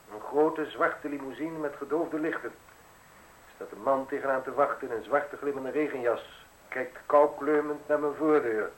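Terse, suspicious gentleman played by a man, faint hiss. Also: medium pitch, natural voice, rough recording. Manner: terse, probing, drily ironic. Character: suspicious, curt, wealthy male villa owner, coolly sardonic. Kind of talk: radio play acting. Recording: hissy old radio play recording.

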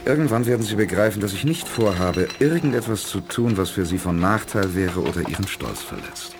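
Low-voiced, confident space commander. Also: male voice, expressive, fairly quick. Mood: dry, mocking. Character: confident, determined male space commander with dry mocking humour. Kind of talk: 1980s German radio play acting. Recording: noisy 1980s audio drama recording.

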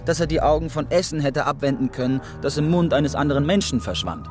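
A man's voice: on the high side, expressive, quick pace, close to an everyday voice. A man narrating a literary radio play from a script. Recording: studio radio play, music underneath.

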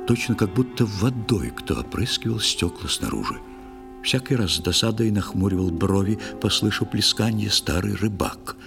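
A man's voice: fairly low pitch, gravelly. A man narrating a verse fairy tale as a dramatic storyteller in an audio play. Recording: music under the voice.

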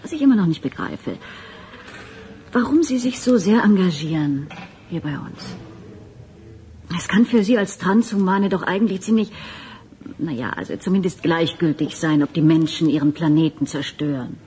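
A woman's voice: on the low side, lively intonation, moderate pace, long pauses, mellow, slight background noise. A woman playing a sceptical, probing questioner in a German radio drama, speaking thoughtfully and directly.

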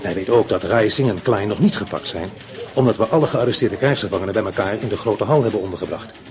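An actor playing a shrewd, calm male police inspector who questions in a probing, measured, dry way, in a radio play. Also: lowish voice, close to an everyday voice.

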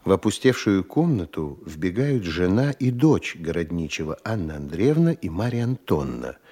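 A man narrating stage directions for a radio play in a measured, even manner. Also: low-pitched, husky. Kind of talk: scripted narration.

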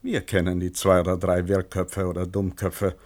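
An actor playing a condescending company loyalist and betrayer in a German radio play. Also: male voice, deep voice, completely flat delivery, moderate pace, darkish tone. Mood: smug. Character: treacherous, condescending company loyalist posing as helper.

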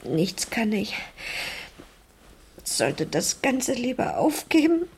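Injured teenage girl, very husky breath, slow halting delivery, self-doubting yet defiant, German radio crime drama. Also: fairly high pitch, big pitch swings, darkish tone, exaggerated voice. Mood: self-reproachful. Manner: halting, subdued, half-whispered. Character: hurt, bookish fifteen-year-old girl, self-doubting yet defiant.

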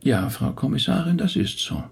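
Husky, reserved middle-aged Swiss rabbi. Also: male voice, on the high side, sing-song, moderate pace, a little mellow, exaggerated voice. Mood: guarded, reflective. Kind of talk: radio drama acting.

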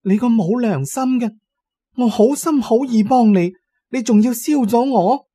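Man voicing a high-pitched, aggrieved female ghost. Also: lively intonation, a little slow, dark tone, husky, dry recording, heavily acted. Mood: indignant, reproachful. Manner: reproachful, persuasive. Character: female ghost in a paper funeral doll, aggrieved, coaxing, proud. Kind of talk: audio drama horror storytelling.

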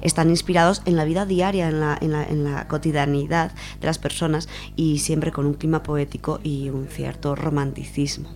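Low, even-voiced Spanish woman presenting. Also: normal speed, hardly any pauses. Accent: Spain. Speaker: radio show presenter. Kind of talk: informal radio talk, semi-prepared biographical account.

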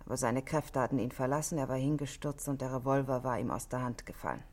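A married woman under police questioning in a 1960s German radio drama. Her voice is low for a woman. She stays controlled and matter-of-fact, setting out each detail with cool precision. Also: fast talker, darkish tone. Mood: grave, recounting.

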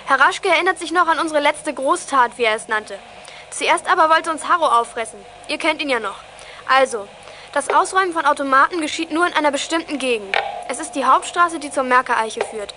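Confident girl detective, very high voice, youth radio play, noisy ambience behind. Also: lively intonation, fairly quick, bright, forceful tone. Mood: reporting.

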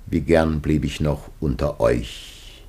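Very deep, husky dying old patriarch. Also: male voice, slow pace, warm tone, exaggerated voice. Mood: grave dread. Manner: solemn, declamatory, grave. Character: Adam, ancient dying patriarch, solemn, anguished, tender father. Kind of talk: classic German radio play, declamatory verse acting.

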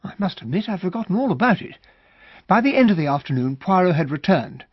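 An earnest, slightly naive British gentleman, narrating and acting in a radio drama. His voice is very husky and animated, with polite hesitations. The recording is a little muffled. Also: high-pitched, quick pace, exaggerated voice. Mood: narrating, puzzled. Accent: British English.

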